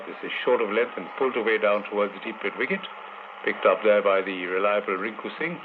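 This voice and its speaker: Chatty man commentating cricket on hissy radio. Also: on the low side. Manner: chatty, wry, laughs at dropped catches, rises in excitement on big hits. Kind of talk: live radio ball-by-ball commentary. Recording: tinny broadcast radio off the air with static hiss.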